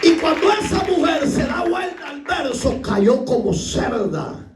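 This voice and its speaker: A middle-aged man from El Salvador with a Salvadoran accent, preaching a sermon as a pastor and acting out the voices in his stories, rising to shouts. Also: extremely high pitch, very animated, a little slow, far from the mic, over music, crowd noise. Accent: Salvadoran Spanish. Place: church hall. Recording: echoey room, voice from off the mic.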